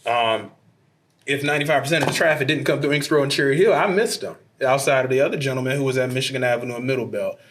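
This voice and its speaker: A man with a slightly hoarse voice speaks at a city council meeting. He makes an earnest appeal to the public, using plenty of filler sounds, at an ordinary middle pitch. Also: rapid-fire, fairly bright tone, slight background noise.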